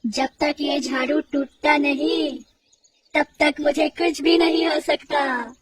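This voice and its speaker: Old female witch: high-pitched, gloating, quick-tempered, storybook horror. Far from mic, loud music. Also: steady tone. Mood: boastful, cackling. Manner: gloating, theatrical, drawn-out words. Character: old child-eating witch, greedy, cackling, quick to anger. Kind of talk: dramatised storybook horror tale for children.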